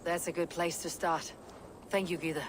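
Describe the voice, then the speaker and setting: A woman's voice: on the low side, steady tone, normal speed, noisy background, lightly acted. A woman playing a guarded, terse female Viking warrior in a video game cutscene. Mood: measured, probing.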